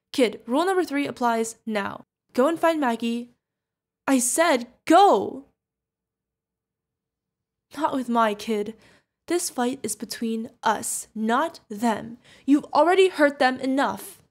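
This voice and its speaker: Woman acting as a protective witch mentor, high-voiced. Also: animated, unhurried. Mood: urgent, fierce. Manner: emotional, commanding, affectionate, pleading. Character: protective witch mentor, fierce yet tender adoptive parent. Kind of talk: ASMR fantasy roleplay acting.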